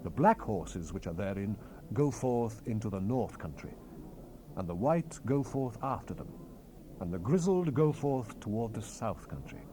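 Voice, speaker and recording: Man acting a solemn angel, loud and swelling. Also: lowish voice, animated, measured pace. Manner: declamatory, grave. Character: solemn, authoritative angel messenger explaining visions. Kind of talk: dramatized scripture recording. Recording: hissy 1970s cassette rip.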